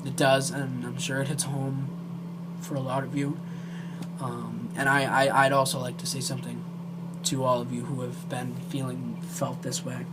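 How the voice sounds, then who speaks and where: Amateur vlogger, mid-pitched voice, heartfelt and halting delivery, clear noise on a homemade recording. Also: level tone. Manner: earnest, emotional, hesitant. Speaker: amateur video creator. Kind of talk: earnest direct-to-viewer vlog talk.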